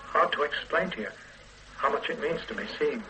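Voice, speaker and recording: A man playing an older father on the run in a radio drama, soft and halting as he pleads with his grown daughter. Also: fairly high pitch, lively intonation, a little hiss or hum, poor audio. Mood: wistful.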